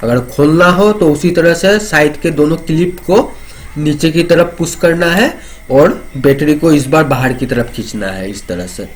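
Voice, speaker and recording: A man's voice: on the high side, animated, measured pace. A man giving an informal technical demonstration, explaining step by step and repeating phrases. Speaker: presenter of a product explainer.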